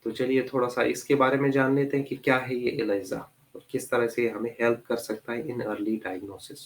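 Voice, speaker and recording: A male teacher giving an online class with a slight cold that makes him a bit hoarse. He speaks patiently, calls his students "beta" and repeats key terms. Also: medium pitch, little pitch movement, normal speed.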